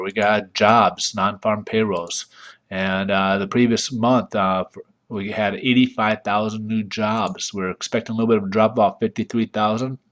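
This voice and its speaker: Congested man, lowish voice, casual market commentary, lots of ums and uhs. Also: fairly bright tone, muffled recording. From United States. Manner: loose, chatty, many ums and uhs. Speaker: mortgage market report host.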